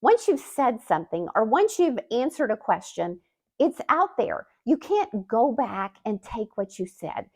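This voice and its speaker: Woman, channel host, communication coach, scripted explainer, very animated pitch, emphatic. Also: a little slow. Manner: lively, expressive delivery with emphatic rises. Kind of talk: scripted talking-to-camera explainer.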